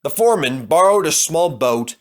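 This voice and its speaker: A man's voice: fairly high pitch, expressive, normal speed. A man narrating a children's storybook as a scripted read-aloud.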